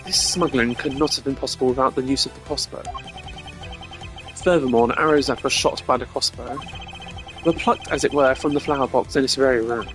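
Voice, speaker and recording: Guy doing a stiff formal game prosecutor, measured and declamatory, mid pitch, music behind. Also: fairly bright tone, natural voice. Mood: grave, accusing. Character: composed, formal male prosecutor hero of a courtroom game.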